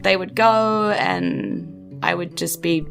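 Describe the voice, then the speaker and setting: A woman's voice: lowish voice, sing-song, takes it slowly, vocal fry, a bit bright, soft background music, heavily acted. A woman playing a laid-back, naive young female farm guard in improvised tabletop roleplay, talking in a hesitant, vague, rambling way. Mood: casual, unsure.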